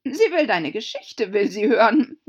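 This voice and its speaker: A woman voicing a brusque, bossy male griffin in a storybook, loud, curt and impatient. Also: fairly high pitch, very animated, a little slow, husky, muffled recording, voice pushed up, exaggerated voice. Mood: brisk, amused.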